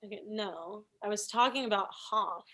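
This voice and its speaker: A woman's voice: mid-range voice, normal speed, bright, forceful tone. A woman playing an oblivious, meddling show coworker in a comedy table read, chatty and matter-of-fact. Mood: breezy.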